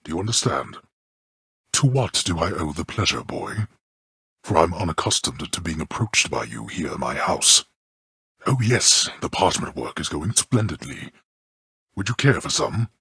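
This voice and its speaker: An actor playing a stern, proud old god of the underworld and gruff father in a video game, speaking in a formal, archaic, imperious and curt way with a deep, gravelly, husky voice. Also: very animated, normal speed, pushed and bright, no room sound, heavily acted. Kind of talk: video game character acting.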